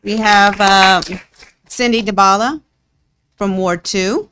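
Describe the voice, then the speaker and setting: A woman's voice: medium pitch, steady tone, slow, fairly bright tone, a little hiss or hum. A woman informally announcing names at a meeting, hesitant as she works through a list. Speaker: reader of nominee names.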